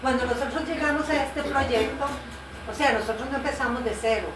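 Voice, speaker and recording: A woman's voice: sits high, brisk. A woman who works as a missionary Bible translator, patiently explaining her work in a conversational interview, with fillers and self-corrections. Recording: roomy, distant mic with background noise.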